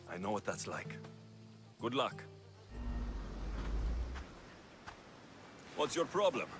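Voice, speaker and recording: Young male sellsword hero for an ancient Greek game; dry confidence, animated intonation, clear musical underscore. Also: on the low side, moderate pace, over music. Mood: curious, direct. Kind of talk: video game dialogue acting.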